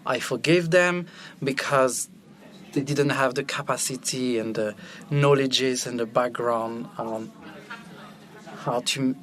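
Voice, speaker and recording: A man's voice: medium pitch, slow, pauses often, fairly bright tone. A man from Belgium whose first language is French, a film director guest talking candidly and with animation about his life, laughing easily. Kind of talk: candid personal conversation.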